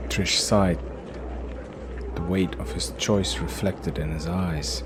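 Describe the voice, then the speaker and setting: Deep, slow male fantasy narrator. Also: fairly even intonation, noisy background. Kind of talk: scripted dramatic fantasy narration.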